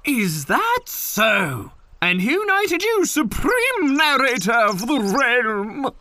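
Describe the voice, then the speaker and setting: An actress playing a pedantic, teasing second narrator who needles and nitpicks in an audio comedy drama. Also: female voice, fairly high pitch, huge pitch swings, slow pace, bright, forceful tone, slight background noise, exaggerated voice. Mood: needling.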